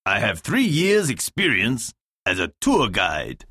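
A man giving lesson instructions and reading scripted dialogue, clear and deliberate. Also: fairly low pitch, wildly swooping pitch, pushed and bright, no echo, studio quality. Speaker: lesson narrator and dialogue reader.

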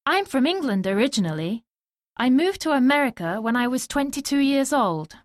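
Lively higher-voiced woman reading textbook lines. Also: no room sound, studio quality. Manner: slow, clearly spoken textbook delivery. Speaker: dialogue reader in a language lesson. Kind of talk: scripted dialogue reading.

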